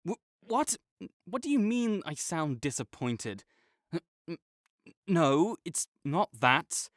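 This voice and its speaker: A man's voice: on the high side, sing-song, slow, dry recording, clean studio sound. A young man voicing a competitive male student rival who teases and bosses, then turns flustered and defensive. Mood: teasing. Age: young adult. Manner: teasing and bossy, gets flustered and defensive. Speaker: voice actor in an audio roleplay. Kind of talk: audio roleplay acting.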